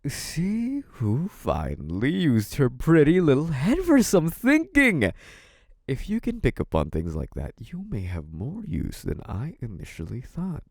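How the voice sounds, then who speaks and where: An actor playing a possessive, scheming young mafia boss in a theatrical, condescending, menacing monologue. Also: male voice, mid-range voice, wildly swooping pitch, vocal fry, no echo, clean studio sound, exaggerated voice. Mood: condescending, pleased. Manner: menacing, condescending, theatrically drawn-out delivery with dark chuckles. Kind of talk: theatrical scripted audio roleplay monologue.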